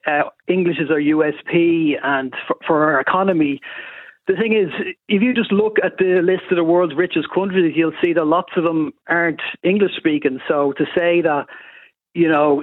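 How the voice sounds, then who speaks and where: An Irish man calls in to a radio show and makes a measured, reasoned case, hedging as he goes. His voice sits a touch high. It is thin and phone-filtered. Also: no room sound. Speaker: caller. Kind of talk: calm radio call-in argument. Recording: phone line.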